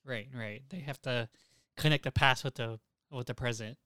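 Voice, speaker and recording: Man, young adult, crisp tone, dry recording, opinionated, repetitive, casual podcast talk. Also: medium pitch, normal speed, sharp tone, no echo. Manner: profane, emphatic, repeats himself. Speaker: podcast host.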